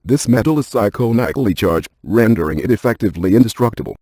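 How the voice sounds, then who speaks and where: Husky, deadpan scientist ghost hunter. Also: male voice, lowish voice, fast talker, no echo, studio quality. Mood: matter-of-fact, grave. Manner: precise, technical, deadpan delivery. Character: earnest, analytical male scientist ghost hunter, matter-of-fact. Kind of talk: video game voice acting.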